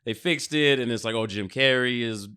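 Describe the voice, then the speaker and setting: Clear-voiced man in casual podcast banter. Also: mid-range voice, moderate pace, sharp tone. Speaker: podcast host.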